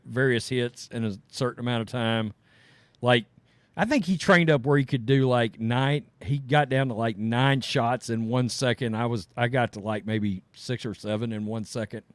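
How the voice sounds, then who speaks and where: A middle-aged man co-hosting a casual chat show, rambling and trailing off quietly at the ends of thoughts. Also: mid-range voice, dry recording. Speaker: podcast co-host.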